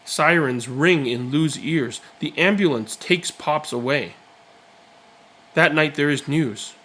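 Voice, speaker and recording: A man reading a children's picture book aloud, with expressive, deliberate and dramatic phrasing. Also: fairly high pitch, a little hiss or hum. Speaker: read-aloud storyteller.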